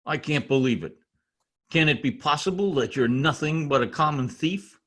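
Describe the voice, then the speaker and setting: A man's voice: on the high side, moderate pace, a bit bright. A man playing a formal, suspicious householder in an old-time radio mystery, stiffly polite and controlled. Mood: indignant. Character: formal, guarded husband, a suspicious armed householder. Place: home recording over video call.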